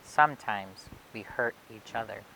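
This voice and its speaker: A woman reading a children's picture book aloud for a library storytime, expressively and phrase by phrase with emphatic pauses. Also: a bass voice, bright, forceful tone, rough recording. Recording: clear background noise and hiss.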